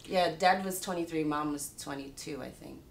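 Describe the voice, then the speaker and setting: A woman's voice: normal speed, creaky. A woman in her thirties from Pennsylvania, chatting in an interview and laughing between phrases. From Pennsylvania, USA. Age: 30s. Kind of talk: casual interview. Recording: echoey room, faint rumble.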